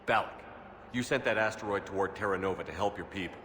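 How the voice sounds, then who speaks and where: An actor playing a steady, resolute military commander in a video game, with a firm, terse delivery. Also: male voice, sits low, quick pace, a little hiss or hum. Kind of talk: video game drama acting.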